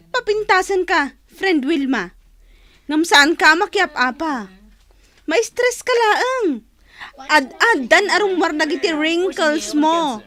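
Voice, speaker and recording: A woman's voice: extremely high pitch, fairly bright tone, heavily acted. A woman playing a chatty, playful friend who gives teasing advice in a Filipino radio comedy, mixing in English words. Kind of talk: comic Filipino radio drama acting.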